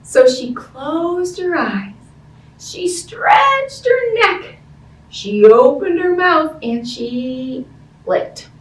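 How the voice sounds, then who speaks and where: Animated woman reading slowly in a roomy space. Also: high-pitched. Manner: expressive, simple child-directed speech with questions to listeners. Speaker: librarian hosting children's story time. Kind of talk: animated picture-book read-aloud and chat to young children. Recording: roomy, distant-sounding, faint background noise.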